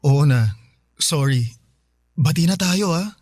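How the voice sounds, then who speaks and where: A man's voice: fairly high pitch, expressive, slow, a little mellow, no echo. A questioning, placating young boyfriend in a Filipino radio drama. He speaks with a Filipino accent in a dry, close recording. Faint music runs underneath. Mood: apologetic. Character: curious, apologetic young live-in boyfriend.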